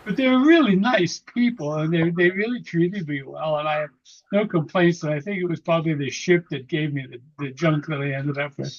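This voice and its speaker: A man's voice: on the high side, fairly quick. A man recovering from an illness who chats casually and jokingly over a video call, stumbling and restarting his words. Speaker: club member. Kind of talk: casual chat. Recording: dull lo-fi call audio.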